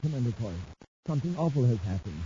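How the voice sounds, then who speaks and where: Fussy government manager, male actor, American, hesitant, medium-pitched, fifties radio serial sound. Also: big pitch swings, fast, background noise, natural voice. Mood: worried. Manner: hesitant, anxious, precise. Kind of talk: 1950s radio serial acting. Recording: muffled 1950s radio recording.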